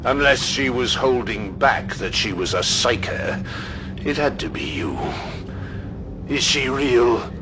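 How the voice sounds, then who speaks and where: An actor playing a stern, resolute space-knight commander in an audio drama, grave, clipped and defiant. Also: male voice, mid-range voice, lively intonation, slow, background noise. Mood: stern, probing. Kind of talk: scripted audio drama acting.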